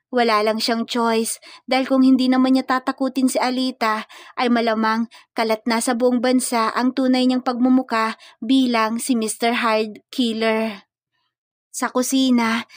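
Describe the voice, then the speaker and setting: High-voiced woman narrating a story. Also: no echo, clean studio sound. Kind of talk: scripted narration of romantic comedy fiction.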